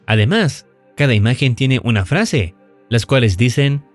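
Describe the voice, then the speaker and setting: Animated male narrator over music. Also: mid-range voice, a little slow. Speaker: narrator of video recap and analysis. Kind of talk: scripted narration. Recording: music under the voice. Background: background music.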